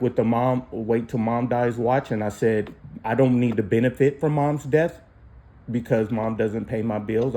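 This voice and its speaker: A man with a dark, soft-edged voice of average pitch defends himself on a personal phone call. He is insistent, going back over his own words again and again. Some noise sits behind the voice. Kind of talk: personal argument, self-defending. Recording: noisy phone call recording.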